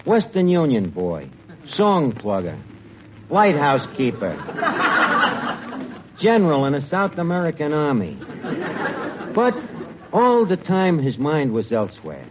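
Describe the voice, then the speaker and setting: High, animated man on old radio. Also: measured pace, long pauses. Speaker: host and sketch narrator. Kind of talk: mock-dramatic scripted radio comedy narration. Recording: dull, faintly noisy old radio broadcast.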